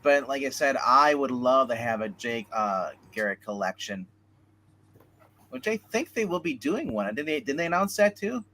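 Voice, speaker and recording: A man's voice: medium pitch, very animated, normal speed, pushed and bright. A man co-hosting a livestream panel in casual, joking chat. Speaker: co-host of a comics discussion show.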